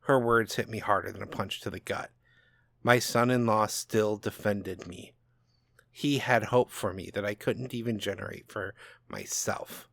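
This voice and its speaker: A man's voice: on the low side, steady tone, a little slow, gravelly, fairly bright tone, no room sound, studio quality, full character voice. Man playing a bitter, brooding old father. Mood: subdued self-loathing. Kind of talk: dramatic audiobook narration.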